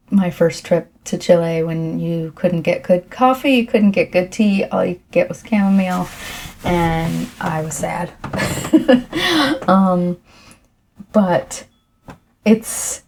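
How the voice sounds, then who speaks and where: Slow, soft-spoken croaky woman. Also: lowish voice, slight background noise. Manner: rambling, soft and unhurried with fillers. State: croaky, frequently clearing throat. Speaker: ASMR tea reviewer. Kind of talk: soft-spoken ASMR tea tasting.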